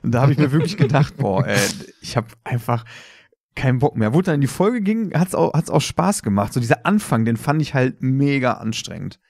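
A man's voice: medium pitch, big pitch swings, fairly quick, a little mellow, no room sound, clean studio sound. A man co-hosting a podcast, chatting in a blunt, colloquial way. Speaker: podcast co-host. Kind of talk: casual chat.

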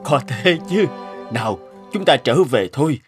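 A man voicing an upbeat, encouraging cartoon father, hearty and expressive, with music behind him. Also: mid-range voice, measured pace, natural voice. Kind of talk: cartoon dubbing.

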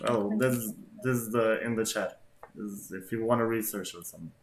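A man's voice: lowish voice, brisk, echoey room, slight background noise, poor audio. A young man from Kosovo, a native Albanian speaker with an Albanian accent, chatting playfully with strangers over a headset at home. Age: young adult. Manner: playful, animated, swears when flustered. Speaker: YouTuber. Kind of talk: casual video chat. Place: small room at home.

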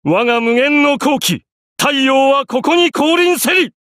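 A man's voice: extremely high pitch, expressive, unhurried, a bit bright, no room sound, clean studio sound, exaggerated voice. An actor playing a proud, imperious young pharaoh and godlike sun king in an anime game, speaking in grandiose, archaic phrases. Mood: majestic, booming. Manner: pompous, grandiose, archaic speech.

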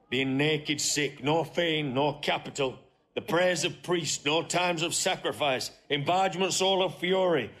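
An actor playing a fierce, defeated warrior general, declaiming vehemently in classical Shakespearean style. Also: male voice, a little high, fairly bright tone. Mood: vengeful. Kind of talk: classical Shakespearean stage acting.

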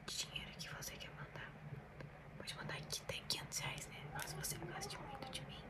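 A woman's voice: high voice, a little fast, recorded from a distance. A young adult woman with a Brazilian accent whispers breathily and intimately in an ASMR style, close to a desktop microphone. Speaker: ASMR video creator. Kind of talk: whispered ASMR, addressing viewers. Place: small indoor room at a desk. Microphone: desktop microphone at close range.

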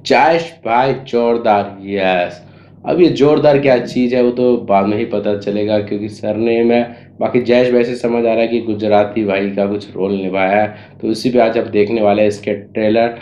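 A young man talks casually straight to camera, rambling a little with fillers as he explains. His voice sits low and mellow. The sound is roomy and somewhat dull, like a small echoey room. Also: steady tone, normal speed. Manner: chatty, explains with loose fillers. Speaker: reaction channel host. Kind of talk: casual video intro and commentary. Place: small room with a cartoon-poster wall.

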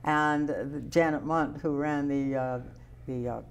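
Slow, low-voiced older woman host. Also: fairly even intonation, slight background noise. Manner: slow, repeats words, halting restarts. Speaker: talk show host. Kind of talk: casual interview chat. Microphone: lapel mic.

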